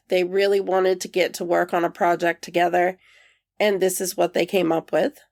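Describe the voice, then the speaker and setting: A woman's voice: medium pitch, darkish tone. A woman co-hosting a film podcast, her voice nasal from a cold, chatting in a dry, matter-of-fact way. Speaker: podcast co-host. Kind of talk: casual chat.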